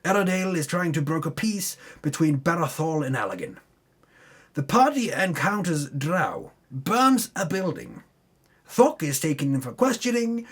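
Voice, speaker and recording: A man with a fairly high, husky voice reads a story recap as theatrical narration. His pitch swings widely for dramatic effect. He often breaks off into sweary, off-the-cuff asides about his own slips. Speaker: host recapping a tabletop game campaign.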